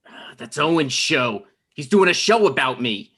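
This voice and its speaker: A man's voice: medium pitch, sing-song, normal speed, fairly bright tone, breathy edge. A man playing a neurotic, self-absorbed playwright, conversational and rambling, in a comedy table read. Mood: agitated.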